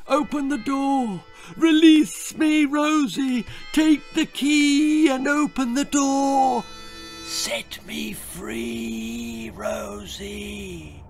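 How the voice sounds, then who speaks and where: A man voicing an eerie, pleading ghost in a children's horror story, with a whispery, drawn-out delivery over music. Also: extremely high pitch, vocal fry, pitched above the performer's own voice, exaggerated voice. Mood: pleading, urgent. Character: eerie ghostly voice pleading from behind a locked door.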